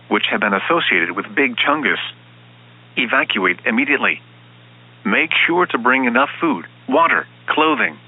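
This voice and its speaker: A man's voice: mid-range voice, phone-quality sound. A man's robotic, impersonal voice reading a formal scripted emergency and weather radio bulletin.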